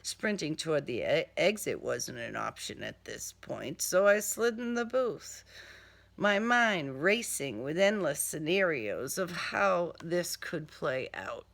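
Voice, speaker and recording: Slow, dramatic woman reading crime fiction. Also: deep voice, animated, gravelly, a bit bright. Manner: deliberate, dramatic, sardonic. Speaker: author reading own crime novel. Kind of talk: dramatic first-person story narration.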